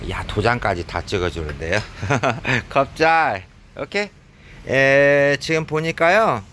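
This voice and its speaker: A man with a cold, chatting casually as he films himself shopping in a convenience store, filling pauses with "yes". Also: mid-range voice, sing-song, fairly bright tone, a little hiss or hum. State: has a cold. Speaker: vlogger. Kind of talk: casual running commentary while shopping.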